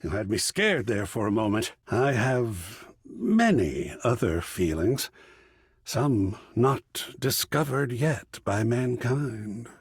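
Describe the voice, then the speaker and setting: A man voicing an excitable, cultured art curator character in a video game, theatrical and erudite, with precise diction and a husky, breathy voice. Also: medium pitch, slow pace, no room sound, clean studio sound, full character voice. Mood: emotional, playful. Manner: effusive, erudite, precisely enunciated, dramatic. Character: excitable, cultured art object come to life, gallery curator.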